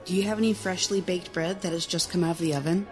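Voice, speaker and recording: A man voicing a young, compassionate but firm and bossy prince with formal, measured phrasing in an audiobook story. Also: sits high, fairly even intonation, faint music behind, voice pushed up, close to an everyday voice. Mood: polite. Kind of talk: audiobook character dialogue.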